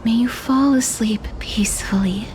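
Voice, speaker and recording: An actress voicing a composed, compassionate young woman in a video game, soft-spoken and breathy. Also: on the high side, moderate pace, a little hiss or hum, close to an everyday voice. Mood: soothing.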